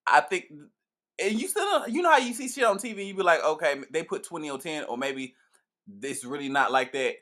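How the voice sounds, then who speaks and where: Guy with a bright voice, breaking stuff down, emphatic. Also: a little high, lively intonation, brisk. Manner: emphatic, explains at length. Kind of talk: casual interview chat.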